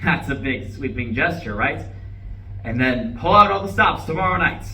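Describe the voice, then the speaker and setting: A man's voice: medium pitch, animated, normal speed, sharp tone. A man in a live play reading, playing a nervous, self-justifying husband who rambles and corrects himself. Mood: anxious, hopeful. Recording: echoey distant live room recording, faint noise.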